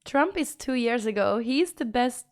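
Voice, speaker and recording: A woman's voice: a little high, lively intonation, measured pace. Bubbly Swedish woman chatting with her stream. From Sweden. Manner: chatty, bubbly, repeats herself while reading chat. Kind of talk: casual livestream chat reading messages.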